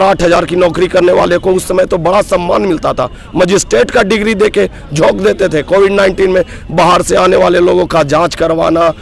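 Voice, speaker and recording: A hoarse man in his thirties, a block coordinator at a roadside protest, speaking earnestly and with rising grievance in a street interview. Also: high voice. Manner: aggrieved, earnest, builds to emphatic outbursts. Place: roadside protest sit-in outdoors.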